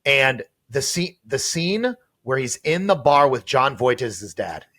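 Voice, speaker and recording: A man co-hosting a casual comedy podcast, telling stories in an animated, jokey way. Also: medium pitch, slow pace. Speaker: podcast co-host.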